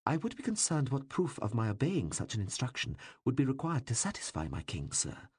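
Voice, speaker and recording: A male narrator voicing a composed woman court doctor who answers in a dry, sarcastic, measured way. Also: medium pitch, huge pitch swings, darkish tone, breathy edge, no echo, voice pushed up.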